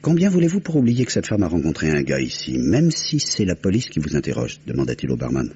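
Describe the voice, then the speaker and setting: An actor playing a composed detective, measured and articulate as he explains, in an old French radio crime drama. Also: a bass voice, huge pitch swings, dark tone, husky, full character voice. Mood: grave, methodical. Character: composed, methodical detective recounting a solved murder. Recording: muffled old radio recording, faint noise.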